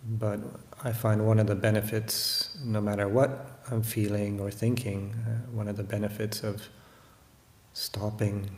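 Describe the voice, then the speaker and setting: A man giving a guided meditation talk as a Buddhist teacher, unhurried and hesitant, often pausing to reflect. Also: on the low side, darkish tone. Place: room in a monastery. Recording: roomy echo, faint background noise.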